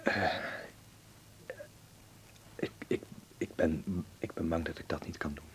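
A man's voice: very deep voice, fairly even intonation, takes it slowly, a little hiss or hum. An actor playing a troubled, understated man in a wartime Dutch radio drama, with a Netherlands Dutch accent. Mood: troubled, reluctant. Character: anxious, troubled man protecting his wartime friends.

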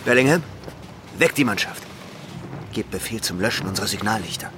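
A man's voice: fairly low pitch, lively intonation, brisk, background noise, lightly acted. A man acting an obsessed naval commodore in an audio drama, giving clipped orders and brooding to himself. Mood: commanding. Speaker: ship's commander. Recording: loud music behind. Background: dramatic music.

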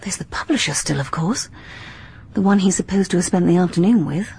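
An actress playing a determined young woman turned amateur detective, speaking crisply and insistently. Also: lowish voice, lively intonation, brisk, darkish tone, exaggerated voice.

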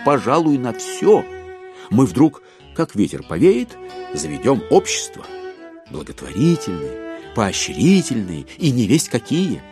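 Wry male narrator with highly animated delivery. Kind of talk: dramatized literary narration.

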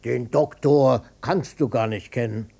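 Gravelly, husky old father, stubborn. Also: medium pitch, a little slow, mellow. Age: older. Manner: blunt, colloquial, swinging between offhand and touchy. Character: gruff widowed old father, stubborn, defensive, matter-of-fact. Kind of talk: radio drama dialogue.

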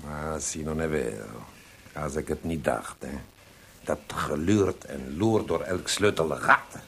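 A man's voice: extremely low pitch, takes it slowly, vocal fry, a bit bright, slightly rough, heavily acted. An actor in a radio play voicing a flamboyant, teasing Italian libertine poet with an Italian accent, theatrically mixing Italian exclamations into his talk. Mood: wry, teasing. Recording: noisy archived radio play recording.

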